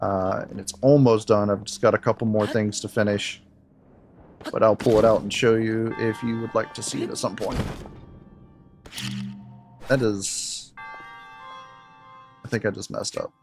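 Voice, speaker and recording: A deep-voiced man with some gravel in his tone talks to his live chat in a relaxed, candid way while gaming. He sits close to the mic, and game music plays clearly beneath his voice. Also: a little slow, long pauses. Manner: candid, laid-back, talks to chat between game moments. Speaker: game streamer. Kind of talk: casual live-stream chat while playing. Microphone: close desk mic. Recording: game music audible under the voice.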